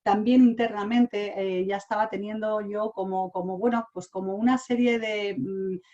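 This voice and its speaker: Woman in her forties, online talk, halting, full of fillers, mid-pitched voice. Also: steady tone, dark tone, lo-fi sound. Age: 40s. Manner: hesitant, many fillers and restarts. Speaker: speaker. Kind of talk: online talk sharing personal experience. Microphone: online talk mic.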